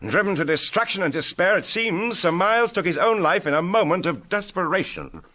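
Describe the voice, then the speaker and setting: An actor playing a breezy, unflappable British gentleman adventurer, wordy and jaunty, in a tongue-in-cheek vintage detective audio drama. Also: high voice, very animated, fairly quick, heavily acted. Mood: grave recounting. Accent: British English.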